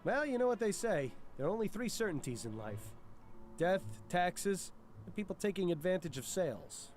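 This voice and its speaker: Lilting, droll detective sidekick, higher-pitched. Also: male voice, very animated, moderate pace, darkish tone, soft background music. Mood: teasing, droll. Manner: florid, teasing, droll. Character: genial, wry middle-aged male detective sidekick. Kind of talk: voiced adventure game dialogue.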